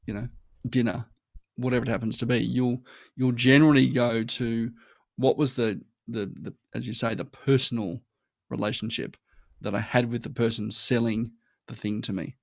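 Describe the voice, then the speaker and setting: A man's voice: mid-range voice, steady tone, normal speed, gravelly, no room sound. A man talking casually as an interview guest, emphatic, often checking in with a short "right?" after his points. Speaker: podcast guest. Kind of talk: casual conversational interview talk.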